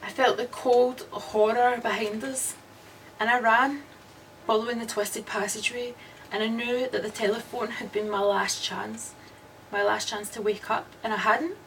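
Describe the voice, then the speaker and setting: An actress playing a haunted woman who narrates her nightmare slowly and dramatically in an old radio suspense drama. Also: on the high side, flat delivery, a bit bright. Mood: fearful, intense. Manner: slow, dramatic, dread-laden. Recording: distant, noisy old radio recording.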